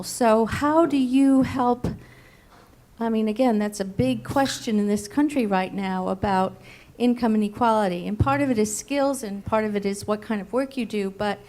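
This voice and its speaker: A woman's voice: mid-range voice, a little mellow. A woman in the audience asking the panel an earnest, thoughtful question.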